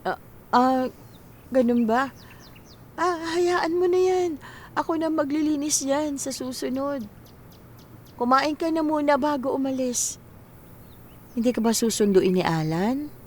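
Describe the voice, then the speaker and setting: A woman's voice: sits high, unhurried, pauses often, a little hiss or hum. A woman playing an ailing, tender middle-aged mother who pleads hesitantly, in radio drama style. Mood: hesitant, hopeful.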